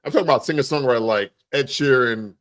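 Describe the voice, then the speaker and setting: A man talks at a brisk clip in a medium-pitched voice. He sounds like a podcast co-host in relaxed conversation, with informal, slangy phrasing and the odd swear word. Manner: informal slangy speech with profanity. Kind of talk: casual chat.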